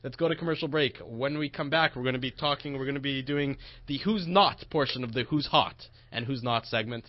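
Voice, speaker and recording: A man's voice: medium pitch, fairly quick, hardly any pauses, dry recording. A man hosting a sports radio show, casually chatting and plugging upcoming broadcasts in an upbeat announcer manner. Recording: dull lo-fi broadcast with faint music.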